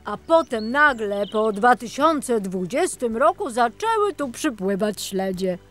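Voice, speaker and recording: A woman's voice: a little high, very animated, measured pace, soft background music. A woman voicing a friendly, chatty male boatman tour guide in a children's audiobook, in a welcoming storytelling manner.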